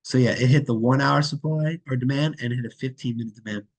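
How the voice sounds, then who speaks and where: A young man with a raspy voice and a sore throat, losing his voice, hosts a casual, chatty walkthrough of trading charts. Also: medium pitch, quick pace. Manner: loose and chatty, many fillers and asides. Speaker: trading channel host.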